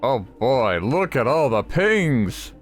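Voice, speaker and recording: A man's voice: medium pitch, very animated, takes it slowly. A man giving casual, offhand playthrough commentary as a gaming host, sometimes reading aloud with stumbles. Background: game music.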